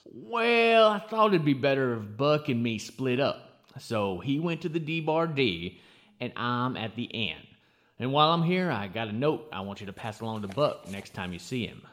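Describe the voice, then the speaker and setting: A man's voice: mid-range voice, very animated, normal speed, fairly bright tone. A man playing a polite, earnest young cowboy with a Western American accent in a radio western. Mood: low-key, guarded. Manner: folksy, polite cowboy talk. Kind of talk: old-time radio western acting.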